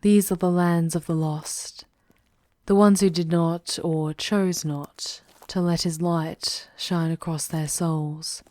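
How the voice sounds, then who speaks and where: A woman playing a world-weary demon queen in a scripted ASMR roleplay, speaking softly and formally, often slipping into breathy whispers. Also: fairly low pitch, steady tone, dry recording. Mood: somber, earnest. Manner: soft, breathy, slow and deliberate, often dropping to whispers; formal, archaic theatrical diction. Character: regal, world-weary demon queen guiding a lost wanderer.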